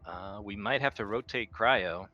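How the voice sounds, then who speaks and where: A man with a very low but bright, forceful voice talks casually as a player in a roleplaying game. The audio is a little dull, with faint music underneath. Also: a little slow. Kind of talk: casual in-character table talk.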